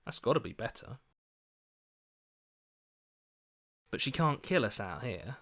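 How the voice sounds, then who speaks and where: An earnest male hero from a video game, with a mid-range voice and animated intonation. He questions and reasons aloud as the voice of conscience inside someone's head. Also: mid-range voice, brisk, full character voice. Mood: defiant. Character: earnest, questioning heroic inner voice of a man.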